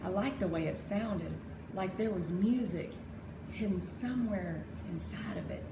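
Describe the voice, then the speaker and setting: A woman narrating a children's chapter book aloud in an expressive storybook style. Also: mid-range voice, unhurried. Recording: echoey room with clear background noise.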